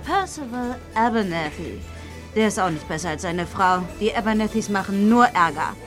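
An actress playing an indignant, grumbling supermarket worker in a German audio drama. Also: female voice, mid-range voice, lively intonation, normal speed, music in the background, close to an everyday voice.

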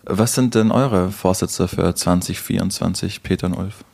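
A man's voice: low-pitched, normal speed, creaky, darkish tone, breathy edge. A man talking casually as a podcast co-host. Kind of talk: casual chat.

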